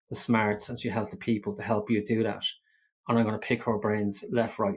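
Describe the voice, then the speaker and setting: A man from Ireland hosting a podcast interview, introducing his guest in long, steady sentences. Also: lowish voice, breathy edge. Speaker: podcast host.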